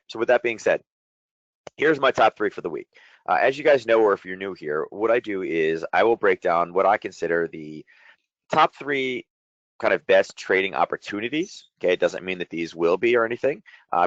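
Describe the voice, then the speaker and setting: A middle-aged man with a deep, slightly strained voice, hosting an informal, chatty and explanatory trading webinar. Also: no room sound, muffled recording. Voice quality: voice deeper than usual from shouting. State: strained voice after a day of loud coaching. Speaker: trading educator hosting a market breakdown.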